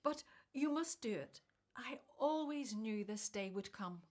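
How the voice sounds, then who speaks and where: A woman's voice: fairly high pitch, lively intonation, measured pace, darkish tone. Woman as tender elderly biblical mother. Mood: tender, resolute. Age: older. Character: tender, practical elderly mother, devout and supportive. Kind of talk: radio Bible drama acting.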